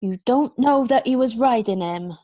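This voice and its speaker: A woman's voice: fairly high pitch, moderate pace, mellow, no room sound, muffled recording, natural voice. A woman reading a kindly farmer's wife and mother in an amateur screenplay read-through. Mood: consoling.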